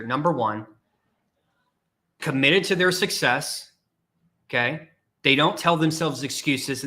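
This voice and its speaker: Emphatic, bright-voiced male career coach. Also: a little high. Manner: emphatic and repetitive, challenging the listener directly. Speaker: podcast host and career coach. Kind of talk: motivational livestream talk.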